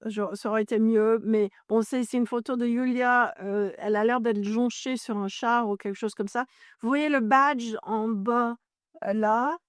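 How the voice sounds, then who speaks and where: A woman's voice: a little high, steady tone, moderate pace, no room sound, studio quality. A woman from Ireland whose first language is English, a journalist and author explaining at length as an interview guest, often searching for French words and correcting herself.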